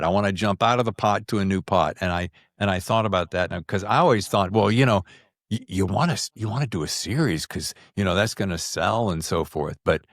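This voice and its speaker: A man's voice: on the low side, no echo, clean studio sound. A man hosting a casual interview podcast, chatty, with rambling lead-ins to his questions. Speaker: podcast host interviewing an author.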